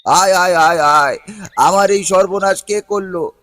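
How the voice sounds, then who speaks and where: A man's voice: high-pitched, measured pace, a bit bright, dry recording. A man voicing a poor, heartbroken fish farmer in a cartoon, lamenting plaintively. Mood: wailing, distraught. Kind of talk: cartoon voice acting.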